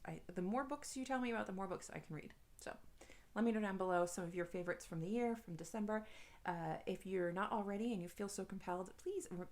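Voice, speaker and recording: A woman's voice: medium pitch, a bit bright. A woman talking casually and emphatically to viewers as a book vlogger, in an informal, rambling, self-deprecating way. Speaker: book review vlogger and podcast co-host. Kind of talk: casual chatty video monologue to viewers.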